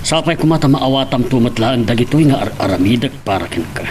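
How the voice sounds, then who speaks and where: A man playing a stern but caring father in a radio drama, measured and admonishing, with an Ilocano accent. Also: mid-range voice, animated, a little mellow, a little hiss or hum, lightly acted.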